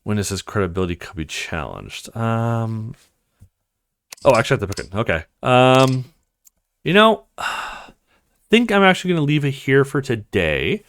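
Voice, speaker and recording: A man's voice: mid-range voice, very animated, normal speed, a bit bright. A man hosting a let's play, reading visual novel dialogue aloud and commenting, now and then stumbling over a word.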